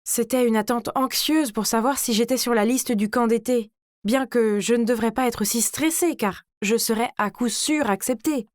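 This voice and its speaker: A woman voicing a vain, scheming teenage girl who tells her own animated story in a smug, theatrical way. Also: on the high side, normal speed, dry recording, studio quality, close to an everyday voice. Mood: scheming, indignant. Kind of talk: dramatized first-person story narration.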